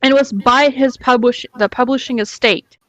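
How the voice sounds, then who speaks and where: A woman's voice: sits high, fairly even intonation, normal speed, fairly bright tone, dry recording, rough recording. A woman chatting casually and emphatically, giving her opinions in an informal way and swearing freely.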